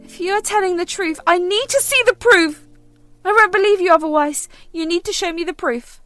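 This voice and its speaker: A woman doing a shrill, furious teenage girl. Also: moderate pace, dry recording, faint music behind. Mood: demanding. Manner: shrill, accusing, shouting. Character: angry, hurt teenage girl, bitter and accusing.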